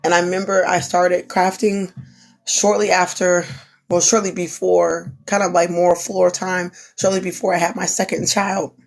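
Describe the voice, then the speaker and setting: A woman's voice: fairly low pitch, level tone, normal speed, vocal fry. A young woman who is losing her voice gives an earnest, repetitive pep talk on a live stream. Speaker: small business owner, crafter. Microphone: phone.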